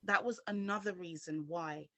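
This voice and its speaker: A woman's voice: on the low side, moderate pace, pushed and bright, no room sound. A woman from London giving her personal testimony as an interview guest, speaking earnestly and directly to the viewer.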